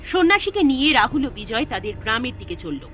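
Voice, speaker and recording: A woman's voice: on the high side, sing-song, fast. Lady reading a spooky story, dramatic, voice goes up n down a lot, music playing under her. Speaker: narrator. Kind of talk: scripted horror story narration.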